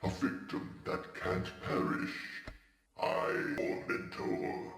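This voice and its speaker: Deep, echoing nightmare machine. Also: male voice, completely flat delivery, vocal fry, a bit bright, a little hiss or hum, exaggerated voice. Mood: ominous. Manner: solemn, menacing, measured rhymes. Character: sinister nightmare animatronic, solemn, vengeful, ominous. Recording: heavily echoing voice.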